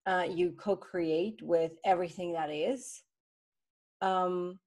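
Woman from Switzerland, podcast co-host, slightly low pitch, casual chat, lots of restarts. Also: slow pace. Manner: animated, with many restarts and fillers. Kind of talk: casual conversation.

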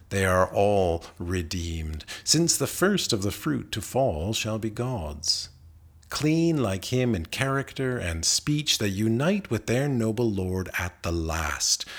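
Slow, low-pitched man reciting poetry expressively. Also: slightly rough. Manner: measured, deliberate phrasing with expressive emphasis. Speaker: reader of poetry. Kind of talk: literary recitation of a narrative poem.

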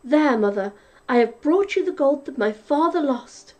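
A woman's voice: high voice, lively intonation, moderate pace, a little mellow. Woman voicing a plucky young boy. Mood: proud.

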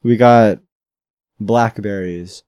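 Laid-back young man, flat monotone. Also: fairly low pitch, extremely slow, clean tone, no echo, dull audio. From Philippines. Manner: laid-back slangy talk, frequent swearing. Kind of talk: casual chat ranking fruits.

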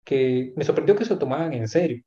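A man's voice: mid-range voice, expressive, fast, warm tone. A man talking in a casual conversation, thoughtful and hesitant, with many asides and restarts. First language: not English. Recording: echoey room, dull lo-fi sound.

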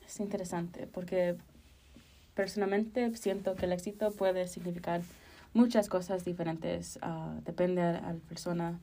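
A woman's voice: fairly low pitch, fairly even intonation, unhurried, warm tone. A woman, co-hosting a casual podcast discussion in hesitant, self-correcting phrases. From Chicago, United States. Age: early 20s. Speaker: podcast co-host.

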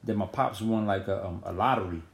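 A man's voice: low-pitched, fairly quick, vocal fry. A man, chatting casually and telling stories in street slang. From New York. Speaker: podcast guest. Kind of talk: casual chat. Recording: echoey room.

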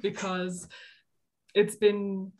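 A woman's voice: mid-range voice, level tone, drawn-out words, darkish tone. A woman co-hosting a podcast, chatting casually in an effusive, jokey manner. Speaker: podcast co-host.

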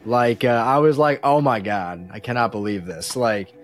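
A man's voice: mid-range voice, moderate pace, soft background music. A man chatting casually as a podcast guest, talking emphatically about things he is a fan of and swearing freely. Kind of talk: animated casual fan chat.

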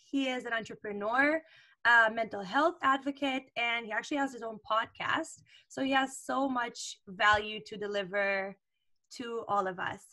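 A woman's voice: on the high side, pushed and bright. A woman hosting a podcast, chatting casually with fillers as she introduces and interviews a guest over a remote call. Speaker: podcast host.

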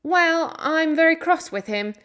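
A woman's voice: fairly high pitch, lively intonation, unhurried. Woman as a cross, bossy young girl. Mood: cross, determined. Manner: crossly spoken, blunt, scolding.

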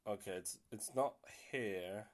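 A man's voice: lowish voice, slow. Rambling young male podcast host. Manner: rambling, swears casually, many fillers. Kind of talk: casual solo podcast chat.

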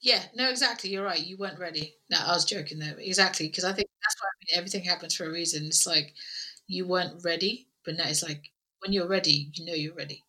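Bright, slightly husky woman chatting casually. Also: lowish voice, fast talker, low-quality recording. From Nigerian family background. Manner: chatty, animated retelling with quoted asides. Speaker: podcast guest, video producer. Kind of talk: casual conversational interview.

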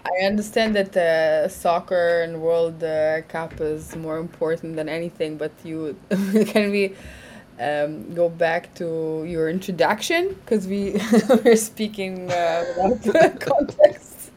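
A woman's voice: lowish voice, takes it slowly, a little mellow. A woman hosting a casual chat, laughing as she steers the conversation back. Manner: laughs while steering the talk. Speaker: host.

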